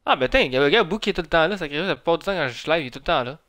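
A man's voice: fairly high pitch, lively intonation, rapid-fire, fairly bright tone, no room sound. A man with a Quebec French accent chatting casually while gaming on a live stream, grumbling and swearing freely. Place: indoor room at home.

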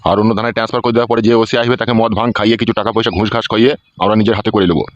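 A man's voice: lowish voice, flat delivery, rapid-fire, no echo, natural voice. A man playing a corrupt, scheming local politician in a comic cartoon, bossy and coarse, on a dull dry recording.

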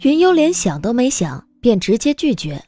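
A woman narrating a scripted audio drama in an expressive storytelling manner. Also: fairly high pitch, normal speed. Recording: music bed behind the voice.

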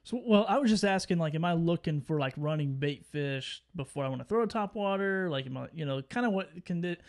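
A man's voice: fairly high pitch, lively intonation, a little fast. A young adult man co-hosting a podcast, asking laid-back, rambling questions over a video call. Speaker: co-host. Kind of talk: casual podcast chat.

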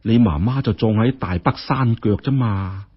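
A man with a Hong Kong Cantonese accent voicing a forceful, righteous, emotional woman benefactor in a told story. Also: on the low side, moderate pace, breathy edge, highs cut off. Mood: wistful.

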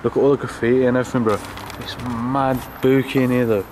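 Brief young lad, slangy vlogger, noisy outdoor camera audio. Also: male voice, medium pitch, little pitch movement, quick pace. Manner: slangy, swearing, joking. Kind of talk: casual vlog narration. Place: outdoors at night near old train tracks.

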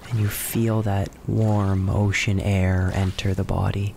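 A man narrating a guided relaxation in a measured, soothing manner, with long pauses between short phrases. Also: sits low, creaky. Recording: steady ocean wave noise behind voice. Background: ocean waves and relaxation music.

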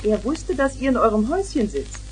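A woman's voice: fairly high pitch, big pitch swings, brisk, darkish tone, soft background music, rough recording. An actress playing a caring mother explaining things to her children in a radio play. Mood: motherly. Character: caring mother talking to her young daughters. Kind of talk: 1970s German children's radio play acting. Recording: 1970s vinyl record, hissy.

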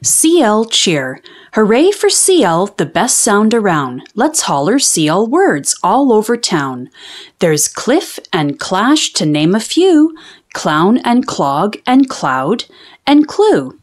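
A woman reading a children's storybook aloud, with expressive, deliberate enunciation for young children. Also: mid-range voice. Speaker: children's read-aloud host.

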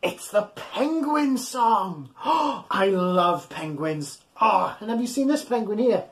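Man, thirties, children's storyteller, unhurried pace, lively swooping pitch, playful, encouraging, exaggerated delivery. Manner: playful, exaggerated, encouraging, talking directly to young listeners; animated, physically acting along. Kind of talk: interactive read-aloud of a children's picture book. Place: small indoor room at home.

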